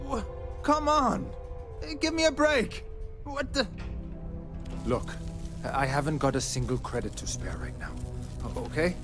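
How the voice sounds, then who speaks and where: An actor playing a weary, evasive space miner in a video game, hesitant and pleading, with music behind him. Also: male voice, a little high, lively intonation, unhurried, pauses often. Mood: exasperated, pleading. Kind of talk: video game character dialogue.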